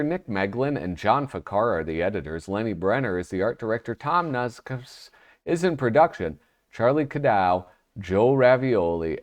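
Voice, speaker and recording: Male host, low voice, lively delivery, a bit nasal from a cold, rambling and self-mocking. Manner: chatty, self-deprecating, rambling asides. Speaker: host of a magazine review channel. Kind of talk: casual solo review vlog.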